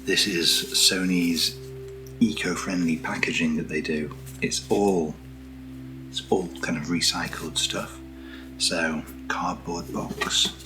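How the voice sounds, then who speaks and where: A British man speaking softly in an ASMR unboxing style, hushed and often slipping into a whisper, as the host of the video. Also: deep voice, fairly even intonation, takes it slowly, over music, poor audio. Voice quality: soft, often dropping to a whisper. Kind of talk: soft-spoken ASMR unboxing.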